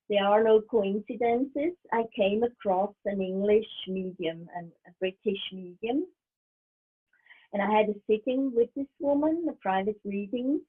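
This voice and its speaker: A middle-aged woman whose native language is German, a medium speaking as an interview guest over a video call in a thoughtful, halting way, often restarting and laughing softly. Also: on the high side, slightly rough. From Switzerland. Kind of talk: casual interview. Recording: tinny video call line.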